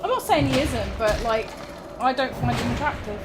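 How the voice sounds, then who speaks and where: Woman, casual gaming chat, giggly, rambling, shrill when excited, loud game music behind. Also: normal speed. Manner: giggly, rambling, self-deprecating. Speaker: gamer co-host.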